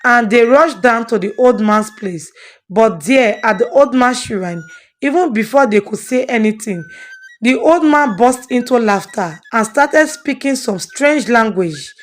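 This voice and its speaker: A woman's voice: on the high side, expressive, a little slow. A woman storyteller hosting and dramatically narrating a moral tale. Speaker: storyteller and channel host.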